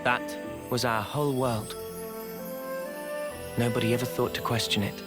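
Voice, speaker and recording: A man's voice: mid-range voice, flat delivery, moderate pace, close to an everyday voice. Earnest young male soldier played by an actor, music behind. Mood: solemn, reflective. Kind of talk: scripted game narration and dialogue. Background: loud music.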